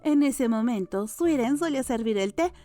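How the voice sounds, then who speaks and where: A female narrator with a moderately high voice reads a novel aloud. The delivery is scripted audiobook storytelling, with gentle music underneath. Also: expressive, moderate pace.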